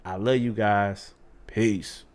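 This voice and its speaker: A man's voice: fairly low pitch, fairly even intonation, slow. Guy doing a commentary video, preachy and emphatic, asking questions at the crowd. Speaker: online commentator.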